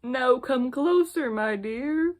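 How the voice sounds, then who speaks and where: A woman's voice: sits high, expressive, slow pace, voice pushed up. Woman putting on a slyly polite big bad wolf. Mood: sweetly menacing. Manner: falsely sweet, coaxing. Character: wicked great gray wolf, male, slyly polite, hungry.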